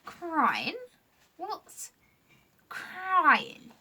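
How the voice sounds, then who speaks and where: A woman voicing Sniff, a timid, floppy-eared male creature from a children's storybook, drawing out his lines slowly. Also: extremely high pitch, wildly swooping pitch, pushed and bright, voice pushed up, full character voice. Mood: puzzled.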